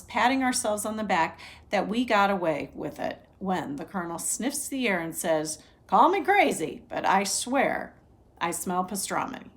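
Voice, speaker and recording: A woman's voice: mid-range voice, close to an everyday voice. A woman voicing a sarcastic old male war veteran in a novel, relishing a funny anecdote as he tells it.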